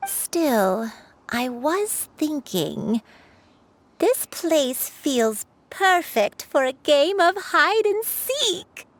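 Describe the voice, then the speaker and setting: An actress playing a gentle, frail, forgetful elderly grandmother in a video game. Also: sits high, sing-song, drawn-out words, exaggerated voice. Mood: musing. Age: older.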